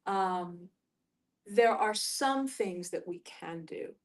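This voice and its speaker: A middle-aged American woman from New York facilitating a group discussion, musing aloud and telling personal stories in halting phrases. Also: medium pitch, lively intonation, far from the mic. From New York, United States.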